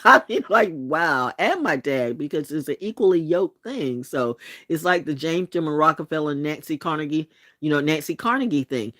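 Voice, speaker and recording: Sixty-something woman hosting a late-night vlog, blunt and profane, lively, expressive delivery, dry room. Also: low voice, dry recording. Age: 60s. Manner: blunt and profane, with laughs and restarts. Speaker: channel host.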